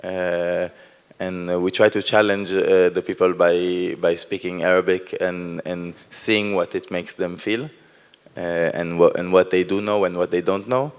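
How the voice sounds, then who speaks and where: A man with a Hebrew first language speaks English in a deep, clear, resonant voice with little melody. He talks haltingly and pauses often to find his words. The sound carries a long hall reverb. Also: fairly even intonation. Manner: hesitant, searching for words with many fillers. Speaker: performer in post-show talk. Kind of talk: post-performance discussion.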